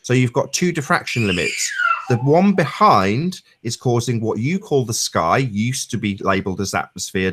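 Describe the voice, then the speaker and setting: A man's voice: medium pitch, fairly bright tone. A man on a discussion panel, speaking emphatically in wordy, technical phrases during an informal debate.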